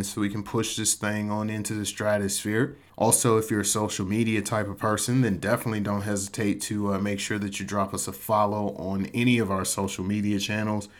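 A man in his thirties talks through his podcast in a loose, conversational way, with a voice pitched a bit low. His voice still sounds slightly off from a recent illness. He keeps things easygoing and self-mocking, sprinkling "man" through his sentences. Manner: laid-back, chatty, says "man" a lot, self-mocking. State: voice still a little off after illness. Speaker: podcast host. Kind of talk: casual solo podcast talk.

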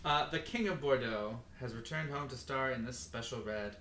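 Bright-voiced young man, casual podcast co-host. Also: mid-range voice, normal speed. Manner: loose, swears a lot, rambling with many fillers.

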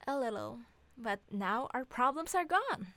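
Woman playing a bright, bossy, sarcastic wife. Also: a little high, big pitch swings, measured pace, no echo, exaggerated voice. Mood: teasing. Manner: sarcastic, bantering, blunt. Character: sharp-tongued, bossy, teasing wife and young mother. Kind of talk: scripted audio comedy drama reading.

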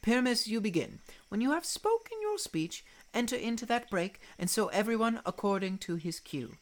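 Earnest amateur stage director played by a woman, highish voice with huge swings, fussy and theatrical, radio Shakespeare. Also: very animated, moderate pace. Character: Peter Quince, earnest, fussy amateur play director, male. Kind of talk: Shakespeare radio play acting.

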